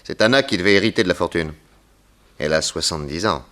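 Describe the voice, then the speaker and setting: An actor playing a shrewd, authoritative private detective with dry irony in a 1960s radio drama. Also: male voice, deep voice, fast, fairly bright tone. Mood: probing.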